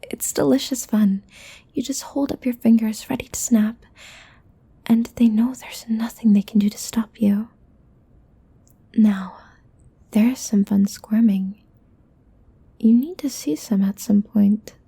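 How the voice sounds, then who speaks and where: A woman voicing a playful, possessive demon in a soft, breathy, coaxing whisper for an ASMR roleplay. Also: fairly high pitch, exaggerated voice. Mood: teasing, gleeful. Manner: slow, coaxing, teasing.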